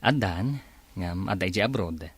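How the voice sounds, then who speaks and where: A man's voice: low-pitched, lively intonation, a little slow, husky, no echo, exaggerated voice. A man with an Ilocano accent acting a devoted, patient young fiance and son in a radio drama. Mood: friendly, steady.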